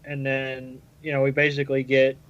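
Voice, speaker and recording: A man's voice: mid-range voice, completely flat delivery, normal speed, highs cut off. A man co-hosting a podcast, chatting casually and recapping in a conversational way. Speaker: podcast co-host. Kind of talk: casual chat and episode recap.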